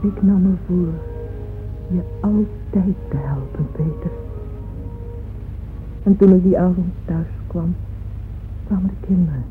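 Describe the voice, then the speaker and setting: A woman's voice: lowish voice, slow, pauses often, faint music behind. An actress playing a proud, yearning, wealthy middle-aged mother who narrates intimately and fervently in a radio drama. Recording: muffled phone-like old radio recording, faint noise.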